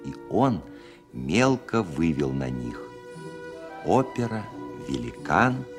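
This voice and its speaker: A man playing a composer who recalls his childhood with gentle humour as narrator of a radio play. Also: extremely low pitch, big pitch swings, slow pace, heavily acted. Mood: wry, proud. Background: music.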